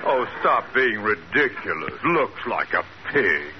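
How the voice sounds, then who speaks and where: An actor playing a pompous, blustering older bank boss with an emphatic old radio sitcom delivery, on a muffled vintage recording. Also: male voice, fairly low pitch, very animated, a little slow, very noisy. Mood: scoffing. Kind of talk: 1950s radio sitcom acting.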